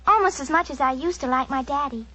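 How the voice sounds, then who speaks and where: High, bright-voiced sweet little girl. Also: fast. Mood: earnest, trusting. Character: sweet young girl, polite and trusting. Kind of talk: 1940s radio acting. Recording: 1940s radio broadcast recording.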